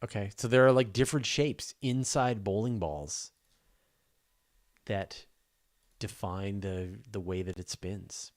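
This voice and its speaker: A man's voice: lowish voice, slow pace, long pauses. A man hosting a live astronomy question show, chatting casually and off the cuff with informal enthusiasm.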